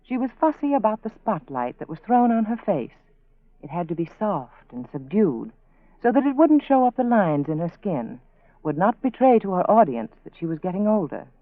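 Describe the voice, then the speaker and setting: An American woman reading a scripted 1940s radio advertisement as the sponsor's spokeswoman, measured and persuasive. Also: mid-range voice, sing-song, dry recording. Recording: narrow, phone-like 1940s radio broadcast.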